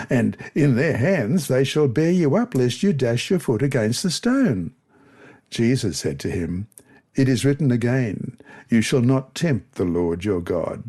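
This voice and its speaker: A man reads a scripted devotional lesson and Bible verses aloud in a measured, expressive way. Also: mid-range voice. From Australia.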